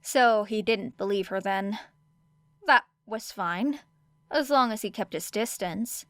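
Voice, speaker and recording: A woman's voice: medium pitch, dry recording. A woman reading scripted fiction as an audiobook narrator.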